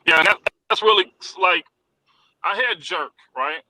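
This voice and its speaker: A man's voice: high voice, unhurried, sharp tone, slight background noise, rough recording. A man who owns a popcorn shop, chatting as a guest, telling stories animatedly with restarts and repeated words. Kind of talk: casual interview chat.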